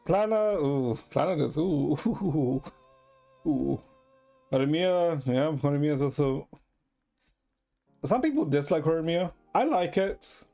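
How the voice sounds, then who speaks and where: A male livestreamer talks casually with his viewers in a medium-high voice. He rambles informally and swears freely, with music playing behind him. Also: animated, a little slow, pauses often, mellow. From Ecuador. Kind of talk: casual chat with live stream viewers.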